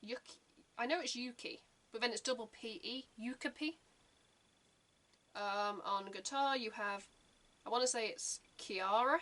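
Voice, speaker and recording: A young woman talks through her reaction in a loose, rambling way, full of fillers. Her voice sits a little high and sounds bright and forward. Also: a little slow, long pauses. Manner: rambling, chatty, many fillers. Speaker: reaction channel host. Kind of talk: casual reaction commentary.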